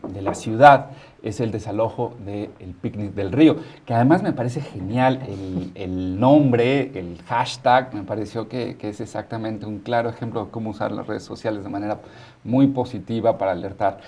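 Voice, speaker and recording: A man's voice: on the low side, normal speed, a little mellow. Mexican man hosting, joking and informal. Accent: Mexican, Mexico City. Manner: joking, teasing. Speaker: talk show host. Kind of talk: informal studio hosting and news commentary.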